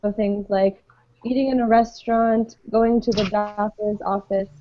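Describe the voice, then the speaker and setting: A woman who teaches English, leading an online group class in a patient, friendly way with many pauses and ums. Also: medium pitch, fairly even intonation, warm tone, a little hiss or hum. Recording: dull lo-fi web call audio.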